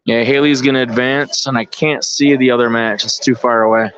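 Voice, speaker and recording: A man's voice: mid-range voice, steady tone, a little fast, fairly bright tone, smooth. A man giving casual, off-the-cuff live sports commentary, informally thinking aloud as he follows the play. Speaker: bowling tournament commentator and organizer. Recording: dull, lo-fi live stream audio.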